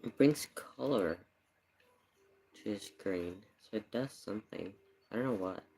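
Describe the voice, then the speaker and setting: Slow-talking, slightly husky casual streamer. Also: deep voice, darkish tone. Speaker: live streamer. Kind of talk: casual livestream chat.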